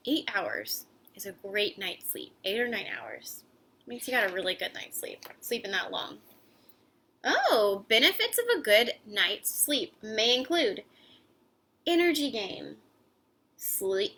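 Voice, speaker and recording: Bright, higher-voiced woman reading to kids. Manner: expressive, chatty, explains the pictures to young listeners. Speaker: host and children's story reader. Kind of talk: animated picture-book read-aloud with casual asides for children.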